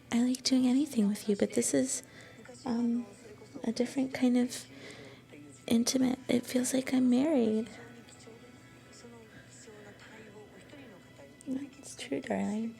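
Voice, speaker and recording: A woman playing an affectionate, shy young student girlfriend in an intimate ASMR roleplay, soft-spoken and breathy, often slipping toward a whisper. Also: fairly high pitch. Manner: tender, coaxing, bashfully hesitant.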